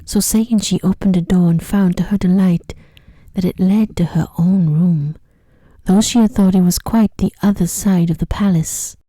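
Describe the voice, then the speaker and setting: A woman narrating a bedtime story in a soft, breathy, whispery ASMR voice, gently and soothingly. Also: fairly low pitch, steady tone, no echo. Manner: slow, gentle, soothing delivery.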